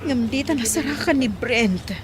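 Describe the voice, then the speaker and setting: Radio-play actress for an anxious, insistent woman, fairly high voice, urging and pleading. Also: normal speed, crowd noise, close to an everyday voice. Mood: anxious longing. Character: anxious, insistent woman searching for a missing loved one. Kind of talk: radio drama acting.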